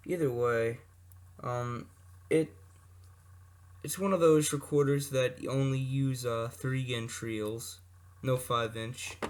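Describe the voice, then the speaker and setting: A man giving a casual, unscripted show-and-tell as a hobbyist presenter, rambling off the cuff with asides and self-corrections. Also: mid-range voice. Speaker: hobbyist video presenter showing vintage electronics. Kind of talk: casual unscripted product demonstration. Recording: noisy amateur recording with clicks.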